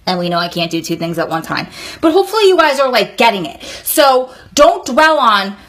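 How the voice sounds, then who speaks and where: Woman about 40 doing a heartfelt advice vlog, kinda high voice, encouraging and chatty. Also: very animated, fairly quick, a bit bright. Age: 39, nearly 40. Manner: earnest, encouraging, conversational. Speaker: vlogger advising prison wives. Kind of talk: candid advice vlog, talking to camera.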